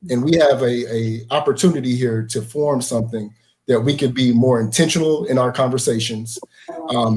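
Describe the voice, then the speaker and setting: A man who co-founded a nonprofit, speaking earnestly and at a measured pace with frequent fillers on a live video panel. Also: mid-range voice, darkish tone. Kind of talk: casual panel talk.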